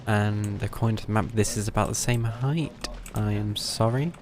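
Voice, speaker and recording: A man's voice: lowish voice, steady tone, normal speed, noisy background. A British man giving casual live game commentary, muttering his thoughts aloud with dry understatement. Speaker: game livestreamer. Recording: game music behind the voice. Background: video game music.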